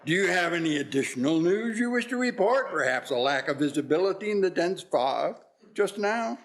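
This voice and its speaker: Male actor as stingy, scornful elderly businessman, radio drama, curt and sardonic, voice a touch high. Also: lively intonation, normal speed, slight background noise. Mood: sarcastic, curt. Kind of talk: community theatre radio play acting.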